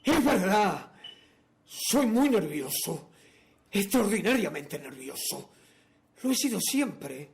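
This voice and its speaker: An actor playing a nervous, obsessive madman who insists he is sane, in a theatrical, halting radio drama monologue that swings between whispers and outbursts. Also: high voice, big pitch swings, gravelly, a little hiss or hum, full character voice. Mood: agitated, defensive.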